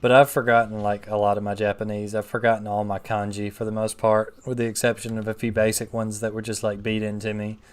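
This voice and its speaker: A man's voice: fairly low pitch, level tone, quick pace, hardly any pauses, clean tone. A man in his thirties chatting casually with rambling asides while playing a video game on stream. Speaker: gaming streamer. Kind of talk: casual let's play chat.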